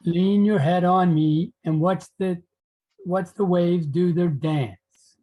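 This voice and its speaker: A man playing a devoted, admiring gentleman poet in an online play reading, gentle and formal. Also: sits high, takes it slowly, low-quality recording. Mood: tender, admiring.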